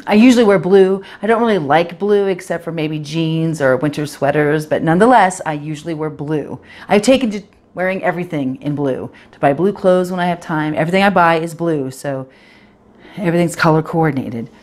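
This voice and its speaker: A low-pitched, anxious young professional woman, played by a woman, confides directly in the audience. She is fretful and self-critical, circling back over her words in a confessional theatre monologue. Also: a little fast, a little mellow, natural voice. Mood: anxious, self-loathing. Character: anxious, self-critical 28-year-old professional woman, obsessive about appearance. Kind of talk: dramatic monologue in direct address.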